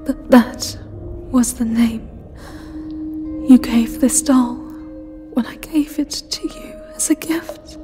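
A woman voicing a jealous, heartbroken living doll in a dramatic ASMR roleplay, breathy and halting, pleading through tears. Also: a little high, music in the background, pitched above the performer's own voice. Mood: wistful, aching. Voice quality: breathy, often whispering.

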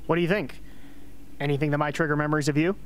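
A man's voice: a little high, fairly even intonation, very fast, slight background noise, close to an everyday voice. A man playing a blunt, world-weary mentor in an amateur radio play. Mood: brisk, blunt.

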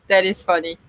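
A woman's voice: fairly low pitch, fairly bright tone, no room sound, poor audio. Lady co-hosting a live stream on a boat in a marina, chatty, lots of restarts, webcam sound. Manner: chatty, hesitant, many restarts and fillers. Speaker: sailing channel co-host. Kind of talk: casual live stream chat. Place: boat cockpit in a marina, outdoors.

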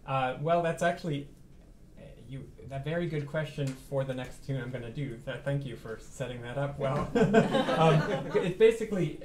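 A man's voice: mid-range voice, expressive, moderate pace, echoey room, crowd noise. A man in his thirties, a pianist, talking casually to a small house concert audience between tunes, thoughtful, with many fillers and restarts.

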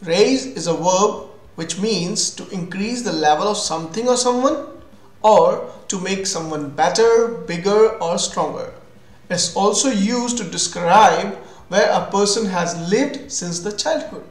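A man's voice: sits high, lively intonation, measured pace. A man with an Indian accent giving a scripted English vocabulary lesson, explaining in an instructional way. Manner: instructional, defining terms then giving examples. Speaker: English teacher. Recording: roomy echo with music behind.

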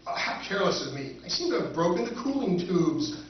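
A man playing a quick-witted stranded soldier who schemes with his partner, in a live stage recreation of an old-time radio drama. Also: sits high, slight background noise. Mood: scheming. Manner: wry, scheming, lively. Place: hotel function room stage with audience. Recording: echoey hall from far off, dull.

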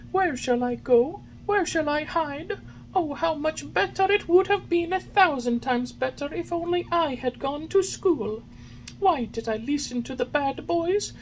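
A woman voicing a small wooden puppet boy who is frightened and remorseful, in a high, squeaky, wailing voice with sobbing, for a storybook reading. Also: darkish tone, a little hiss or hum, poor audio.

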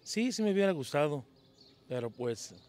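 A man's voice: fairly high pitch, sing-song, normal speed, darkish tone. A Mexican man in his fifties, a local singer answering questions in a casual street interview in a town plaza, humble and hesitant, often repeating words, speaking into a handheld mic. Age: 50s. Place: town plaza outdoors at dawn. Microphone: handheld mic held by interviewer.

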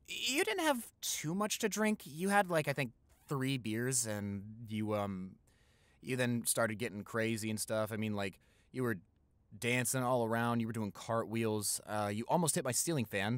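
A man's voice: mid-range voice, dry recording, close to an everyday voice. Young male boyfriend played by a man for roleplay audio: mid-range voice, playful, tender, conversational, very dry recording. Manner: conversational, hesitant, affectionate. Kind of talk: intimate boyfriend roleplay, improvised.

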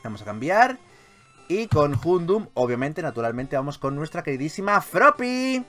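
A man with an accent from Spain giving casual, excitable gaming commentary, repeating his phrases and swearing freely. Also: a little high, normal speed. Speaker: gaming YouTuber, host. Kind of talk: casual, excitable Pokémon let's play commentary. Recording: game music behind voice. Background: video game music.

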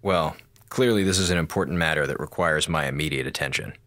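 An actor playing a sarcastic, slacking communications officer on a space station, wisecracking and mock-polite, in a radio drama. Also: male voice, extremely low pitch, fast, fairly bright tone, no room sound. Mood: mock-sincere, sarcastic.

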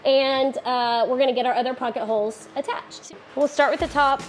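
A woman in her thirties hosting a casual woodworking tutorial in her home garage, chatty and quick to correct herself. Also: high-pitched, steady tone, faint music behind. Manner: chatty, self-correcting, laughs often. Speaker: DIY woodworking host.

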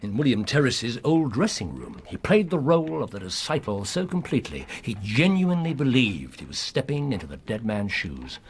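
An actor playing a shrewd, composed English gentleman detective in a Victorian radio drama, speaking with precise, measured authority. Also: mid-range voice, very animated, a little hiss or hum. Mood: reflective, assured.

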